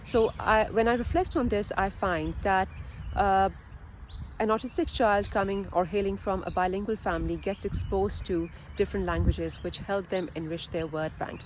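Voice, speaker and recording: A woman who speaks English as a second language, giving explanatory advice as a video presenter in a measured, deliberate way with pauses mid-phrase. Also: medium pitch, fairly even intonation, slight background noise. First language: a language other than English. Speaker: video presenter, parent advocate. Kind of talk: explanatory advice talk to camera.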